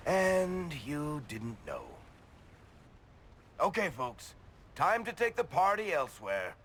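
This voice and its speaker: A man's voice: a little high, very animated, fairly bright tone, a little hiss or hum, heavily acted. A man playing a wisecracking, fond dwarf rogue in a video game, rambling and jovial. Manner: rambling, slow, jovial toasting. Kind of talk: video game cutscene acting.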